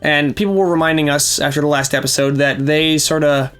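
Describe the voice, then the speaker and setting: A man's voice: a little high, fairly even intonation, a little fast, fairly bright tone, smooth, faint music behind. Scratchy-voiced man recapping a show. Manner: chatty, explains plot at length. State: scratchy voice from a cold. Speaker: reaction show host. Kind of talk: casual recap chat.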